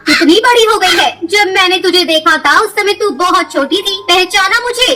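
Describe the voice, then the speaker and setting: A woman's voice: very high-pitched, normal speed, pushed and bright. A woman voicing a friendly, chatty middle-aged auntie pigeon in a children's cartoon. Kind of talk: children's cartoon story dialogue.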